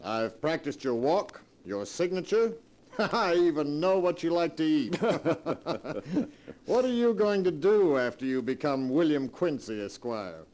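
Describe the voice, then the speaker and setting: Sly small-time crook, unhurried drawn-out delivery, swooping intonation, breezy, vintage radio drama. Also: male voice, fairly high pitch, lively intonation, darkish tone. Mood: cocky, amused. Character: cocky, shifty small-time crook with a secret. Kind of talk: 1940s radio drama acting. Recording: vintage 1940s radio recording.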